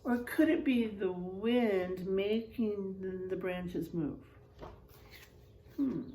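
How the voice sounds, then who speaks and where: A woman reading a picture book aloud to children, pausing to ask them questions. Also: mid-range voice, warm tone, echoey room, slight background noise, poor audio. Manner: slow, asks children questions. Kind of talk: children's storybook read-aloud.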